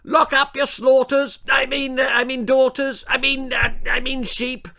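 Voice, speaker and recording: A man's voice: very high-pitched, little pitch movement, measured pace, vocal fry, sharp tone, pitched above the performer's own voice, heavily acted. A man voicing a pompous, blustering upper-class English country lord for a children's storybook, with hooting old-fashioned exclamations. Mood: shrieking alarm.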